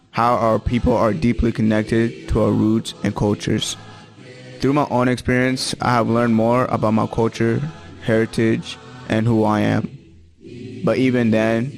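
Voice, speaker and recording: A young man from Tanzania reciting a spoken word piece in a measured, earnest way. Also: on the low side, gravelly, a little mellow, heavy reverb, recorded from a distance. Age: young adult. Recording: music playing underneath.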